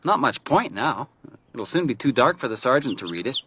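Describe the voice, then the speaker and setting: A man's voice: mid-range voice, big pitch swings, a little fast, noisy background, natural voice. A man acting a younger, talkative hiker in a radio drama, nervy, probing and insistent. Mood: needling.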